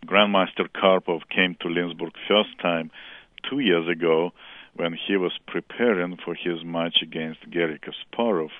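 A deep-voiced man with a strong Russian accent speaks in halting, earnest English. He comes through a tinny, phone-like line with no room sound around him. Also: fairly even intonation, dry recording. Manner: earnest, halting non-native English with some laughter. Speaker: chess school director. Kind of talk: phone interview.

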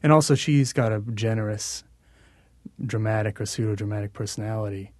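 A man speaks casually as a musician guest on a radio show, often stopping and restarting his thoughts. His voice is on the low side. The studio sound is close and dry. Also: darkish tone, no echo. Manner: halting, many restarts.

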